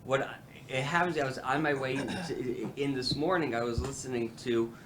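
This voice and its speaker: A man's voice: mid-range voice, normal speed, background noise. A man, president of a policy institute, hosting a roundtable and speaking with many fillers and restarts. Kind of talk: halting roundtable remarks.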